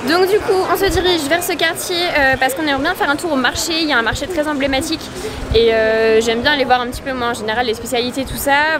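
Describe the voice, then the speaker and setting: A woman's voice: high-pitched, noisy background. A young woman chatting casually in a travel vlog while walking through city streets, with lots of hesitations. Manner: chatty, many fillers and repeats. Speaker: travel vlogger. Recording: music playing loudly behind.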